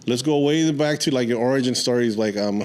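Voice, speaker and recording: A man's voice: medium pitch, fairly quick, a little mellow. A man hosting a podcast in a casual, informal, friendly way. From born in Mexico, moved to the US at age four. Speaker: podcast host. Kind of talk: casual podcast interview chat.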